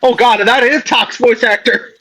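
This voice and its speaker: A man's voice: extremely high pitch, steady tone, moderate pace, pushed and bright. A man co-hosting a podcast in casual chat, often repeating his phrases for emphasis. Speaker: podcast co-host.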